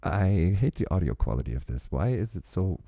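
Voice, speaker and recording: A man's voice: low-pitched, big pitch swings, fairly quick, dark tone, faint music behind. A man hosting a reaction channel, rambling casually with chatty asides while sipping a drink.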